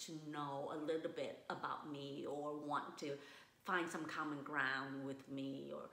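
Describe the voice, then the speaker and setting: A woman from Vietnam, a guest in a casual interview, speaking thoughtfully with many restarts and pauses. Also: sits low, flat delivery, fairly bright tone. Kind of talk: casual conversation. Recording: roomy echo.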